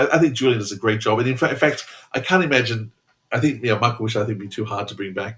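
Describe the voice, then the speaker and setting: A man with a moderately low voice co-hosts a podcast. He talks casually, like a fan giving opinions, in a halting way with frequent rewording. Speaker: podcast co-host.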